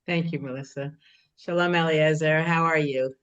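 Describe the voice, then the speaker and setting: A woman interviewing a guest on a video call, chatty and quick to laugh. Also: deep voice, fairly even intonation, smooth, muffled recording. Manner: warm, laughs often, chatty. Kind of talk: conversational interview.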